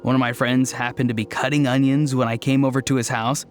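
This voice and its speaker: A man's voice: medium pitch, level tone, quick pace, close to an everyday voice. Man acting an earnest storyteller over faint music. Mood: matter-of-fact. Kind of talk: video game voice acting.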